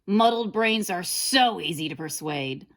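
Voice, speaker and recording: A woman's voice: lowish voice, animated, a little slow. A woman voicing a sly, greedy wolf villain from a children's storybook, wheedling and gloating. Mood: wicked gloating. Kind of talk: children's storybook character reading.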